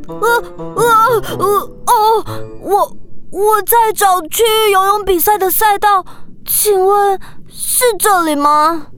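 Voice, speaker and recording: A small, polite boy dolphin for a children's audio story. The voice is extremely high and childlike, with slow, hesitant delivery. Faint music sits underneath. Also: fairly bright tone, full character voice. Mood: timid, nervous. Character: timid, polite young boy dolphin, eager to improve. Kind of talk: children's audio drama acting.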